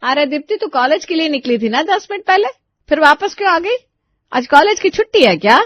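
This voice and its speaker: A woman's voice: high-pitched, animated, a little fast, no echo, pitched above the performer's own voice. Actress as a protective story mother, middle-aged, emphatic scolder, close muffled sound. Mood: concerned. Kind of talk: animated story dialogue.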